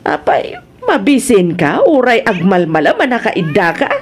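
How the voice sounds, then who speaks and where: A woman's voice: mid-range voice, very animated, unhurried, a little hiss or hum. A woman with an Ilocano accent playing a scolding, teasing, sighing mother of a grown son in a comedy radio drama. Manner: nagging, sighing, exclamatory.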